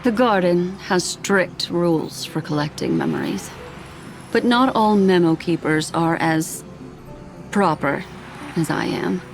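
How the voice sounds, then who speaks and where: A woman playing a composed, enigmatic memory keeper in a video game, speaking formally and in a measured way. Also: lowish voice, very animated, soft background music, heavily acted, rough recording. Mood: composed, polite. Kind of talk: video game character voice acting.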